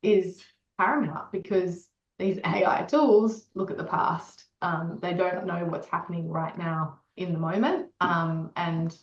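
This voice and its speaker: A woman's voice: lowish voice, fairly even intonation, measured pace. Woman on an echoey, muffled call. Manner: hesitant, filler-heavy, reflective. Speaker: co-founder of an AI creative tool, ex-marketer. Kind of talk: casual interview chat. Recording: roomy, dull lo-fi call audio.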